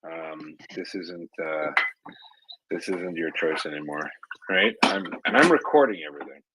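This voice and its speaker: A man's voice: low voice, a little slow, bright, forceful tone, a little hiss or hum, low-quality recording. A man in his thirties hosting a casual chat, teasing and joking with guests. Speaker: host.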